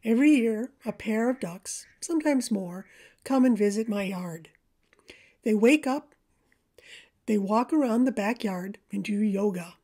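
A woman reading a scripted voice-over about nature in a gentle, measured storytelling manner. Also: mid-range voice. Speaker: presenter of a backyard birding video for a library.

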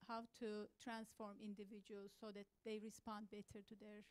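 A woman asking a question from the audience of a conference hall, measured with some fillers. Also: medium pitch, level tone.

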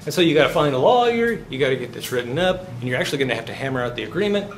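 A man's voice: medium pitch, big pitch swings, very fast. A man in his fifties giving a live university finance lecture, chatty, asking the class questions and answering them himself. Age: 50s. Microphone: far camera, no visible mic. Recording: roomy classroom, faint background noise.

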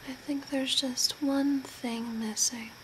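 A woman whispering softly and breathily in a soothing, deliberate ASMR role play, close to the mic. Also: sits high, steady tone, low-quality recording. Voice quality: breathy, mostly unvoiced whisper. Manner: soft, deliberate, soothing with short phrases. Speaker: ASMR performer playing a zombie makeup artist. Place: small curtained room at home. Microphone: close to the mic at a desk. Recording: clear background noise, room ambience.

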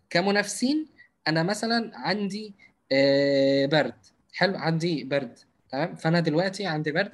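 A man with an Egyptian Arabic accent teaching marketing in a live online class over a video call, talking fast with many drawn-out fillers and frequent check-ins. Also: on the high side. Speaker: marketing instructor. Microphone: computer mic on video call.

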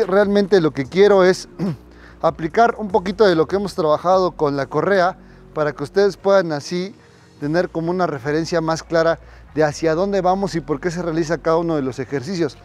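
High-voiced man coaching outdoors. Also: darkish tone. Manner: instructive, addresses viewers directly. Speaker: dog trainer. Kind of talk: outdoor training tutorial. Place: residential street outdoors.

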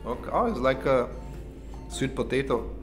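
Exec, mid-pitched, unhurried, expressive, music playing behind. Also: male voice. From Brazil. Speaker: head of operations tasting food. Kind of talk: casual on-camera reactions. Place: indoor studio set at a table.

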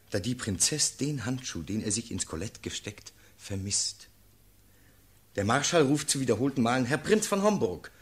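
An actor playing an earnest, steadfast officer addressing his sovereign in measured, declamatory verse in a classical radio play. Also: mid-range voice, breathy edge.

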